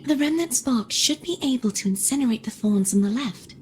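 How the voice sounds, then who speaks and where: A woman acts out a young female game companion with a high, gentle voice. She is soft-spoken and earnest, and easily flustered. Faint game sound effects sit behind her. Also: lively intonation, a little fast, slight background noise, close to an everyday voice. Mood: focused. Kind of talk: video game voice acting.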